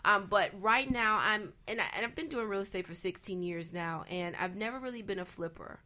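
A woman's voice: medium pitch, a little fast, sharp tone. A woman speaking conversationally as a guest on a panel about investing, emphatic and repeating phrases for emphasis.

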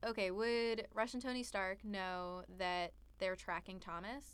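A woman's voice: mid-range voice, fairly even intonation, unhurried, a crowd in the background. A woman co-hosting a casual chat, chatty and eager to float her own theories.

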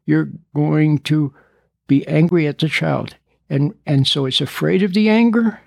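An older man in his seventies, speaking a little high for a man, with a gravelly edge. He stutters now and then and talks earnestly to parents, circling back over his points. The sound is close and very dry. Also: a little slow, darkish tone, no echo. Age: 70s. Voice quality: stutters at times. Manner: earnest, preachy, repeats and rephrases points. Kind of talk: recorded talk addressing parents.

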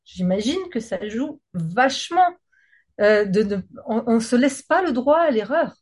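A woman with a French accent and a fairly high-pitched voice. She talks freely and informally, with slangy wording, as in a relaxed conversation between acquaintances. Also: a little slow, husky. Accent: French from France. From France. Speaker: singer, guest. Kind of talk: casual conversation.

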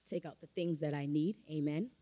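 A woman's voice: low-pitched, normal speed, mellow. A woman preaching a sermon in a church, emphatic and rising to fervent calls of amen. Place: church sanctuary.

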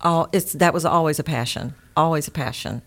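Low-voiced middle-aged East Texas woman. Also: a little fast. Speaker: interview guest, home warranty sales manager. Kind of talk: casual conversational interview answers.

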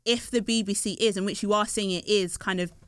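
Woman, thoughtful guest, resonant lower voice, dry recording. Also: fairly even intonation, a little fast, fairly bright tone, clear, resonant tone, no room sound. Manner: thoughtful, hesitant with many fillers. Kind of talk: casual discussion.

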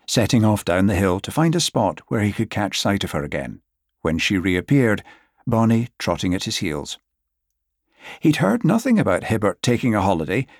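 A man narrates literary fiction in a lowish voice. The recording is dry and close, with very little room around the words, as suits an audiobook. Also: no room sound.

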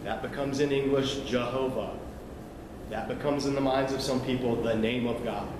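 A man's voice: medium pitch, fairly even intonation, moderate pace. Man preaching in an echoey hall. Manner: conversational, explanatory, asides to listeners. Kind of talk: sermon teaching. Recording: echoey hall, noisy ambience.

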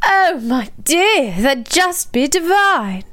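A woman's voice: very high voice, sing-song, slow, fairly bright tone, pitched above the performer's own voice, exaggerated voice. A woman voicing a doddery, hard-of-hearing old lady with a mock-posh British accent, fussy and gracious, in an audio drama. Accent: put-on British.

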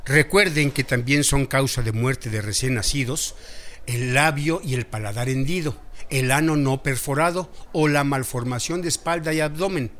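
A man's voice: medium pitch, normal speed, a bit bright, close to an everyday voice. An actor playing a friendly, respectful male doctor with a Mexican accent, explaining things didactically in an educational radio drama. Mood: instructive. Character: friendly instructive male doctor teaching village women.

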